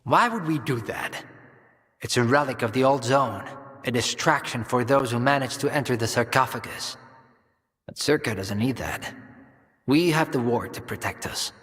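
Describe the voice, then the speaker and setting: An actor playing an evasive, idealistic scientist in a video game, speaking in a measured, persuasive, clinical way. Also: male voice, medium pitch, fairly bright tone, close to an everyday voice. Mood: confident, dismissive. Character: evasive, idealistic male scientist doctor reassuring a soldier. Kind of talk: dramatic video game dialogue. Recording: long reverberant hall echo.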